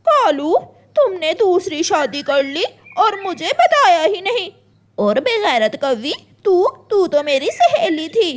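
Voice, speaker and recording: Woman as a high-pitched, jealous cartoon crow wife. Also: sing-song, takes it slowly, heavily acted. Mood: shocked, outraged. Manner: melodramatic, indignant. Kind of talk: Hindi cartoon story acting.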